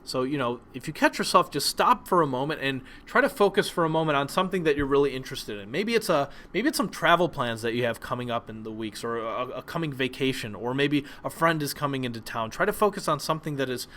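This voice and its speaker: A young man whose first language is Polish, giving a relaxed presentation lesson with frequent ums and restarts. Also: medium pitch, quick pace, hardly any pauses. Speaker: teacher.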